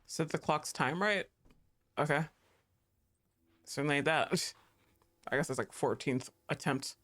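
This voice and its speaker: A man streaming a game live, reacting loudly, swearing, laughing often and talking to the game. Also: a little high. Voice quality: excitable, profane, quick to laugh, talks to the game. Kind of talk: live gameplay commentary.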